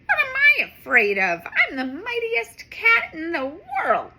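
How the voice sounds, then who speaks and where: A woman voicing a brave, cheerful male super cat in a storybook, talking boastfully and excitedly. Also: extremely high pitch, slow pace, pitched above the performer's own voice, full character voice.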